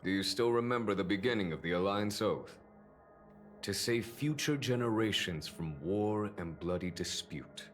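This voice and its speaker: An actor playing a noble, composed general in a video game, speaking in a measured, stately and earnest way. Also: male voice, on the low side, lively intonation, faint music behind, natural voice. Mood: solemn, resolute. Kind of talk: dramatic game character acting.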